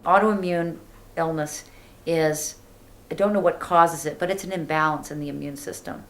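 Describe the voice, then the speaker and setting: A woman's voice: sits low, fairly even intonation, moderate pace, fairly bright tone. A woman in her forties from New York, a patient, speaking candidly in a documentary interview. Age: 40s.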